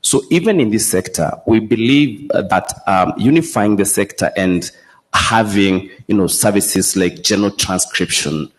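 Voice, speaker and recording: A man's voice: on the low side, moderate pace, rough recording. A man speaking as a panelist, laying out his points one by one. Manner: structured, lists his points. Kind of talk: panel talk, business points. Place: conference hall.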